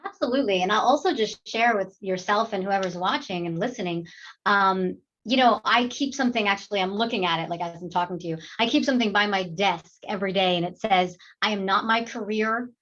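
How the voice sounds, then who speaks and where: A talkative woman from New York, speaking as a guest in a relaxed interview. Her voice sits in a middle pitch and rises with energy as she tells stories. The sound is a little dull and lo-fi, like audio from a video call. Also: fairly quick. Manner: animated, talkative, laughs often, many fillers. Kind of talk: casual interview chat.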